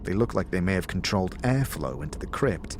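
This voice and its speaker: A man's voice: deep voice, expressive, brisk, slight background noise. A man narrating a fantasy audio drama in a descriptive, dramatic storytelling style with shifting emphasis. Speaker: narrator and game character in an audio series.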